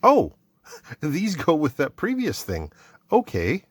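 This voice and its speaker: A man's voice: a little high, huge pitch swings, slow pace, a bit bright, a little hiss or hum. A middle-aged man from Canada casually chatting as he opens and tries out items from his mail, thinking aloud as he goes. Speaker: vlogger opening mail. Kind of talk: casual unboxing chat.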